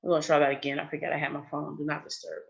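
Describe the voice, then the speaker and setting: A woman's voice: low-pitched, completely flat delivery, rapid-fire, muffled recording. A woman giving an online training session in a casual, chatty way, often checking in with "okay?". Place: indoors, on a video call. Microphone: video call.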